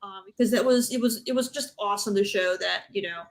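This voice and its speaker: A woman's voice: medium pitch, quick pace. A woman chatting casually as a podcast panelist, telling stories in a blunt, informal way. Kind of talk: casual chat.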